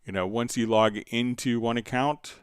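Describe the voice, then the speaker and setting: Male tutorial host, resonant lower voice, unscripted and halting, recorded dry. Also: steady tone, clean tone, no echo. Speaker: livestream host answering viewer questions. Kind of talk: unscripted software tutorial, casual explaining.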